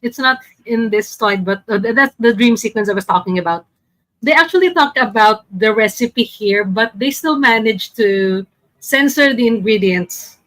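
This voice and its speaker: A woman's voice: fairly high pitch, normal speed, fairly bright tone, no room sound, poor audio. A woman casually presenting as a podcast panelist, a little hesitant as she searches for her words. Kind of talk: casual presentation in a group chat.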